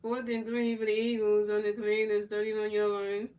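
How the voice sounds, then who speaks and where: Flat-voiced woman streamer calling a football game. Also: medium pitch, far from the mic. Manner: announces plays in a measured, even delivery; talks back to chat in casual slang. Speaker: streamer playing and commentating a football video game. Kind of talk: play-by-play game commentary mixed with chat replies.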